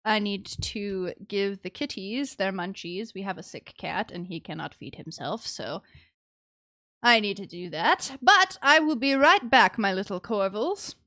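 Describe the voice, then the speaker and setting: A woman's voice: medium pitch, animated, measured pace, bright, forceful tone, no echo. A woman live-streaming a game, giving casual, chatty and giggly commentary to her viewers.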